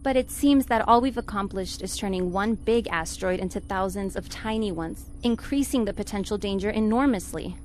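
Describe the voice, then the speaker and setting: A woman playing a quick-witted, sensible and exasperated spaceship flight attendant in a 1950s-style radio serial. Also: mid-range voice, faint music behind, lightly acted. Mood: objecting.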